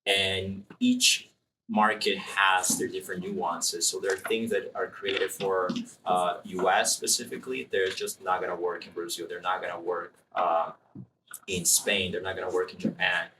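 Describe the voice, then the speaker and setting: Deep-voiced man, relaxed and explanatory. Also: normal speed. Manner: relaxed, explanatory, thinking aloud. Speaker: marketing executive guest. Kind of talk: casual interview answers. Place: room with a live student audience. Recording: distant room mic, faint noise.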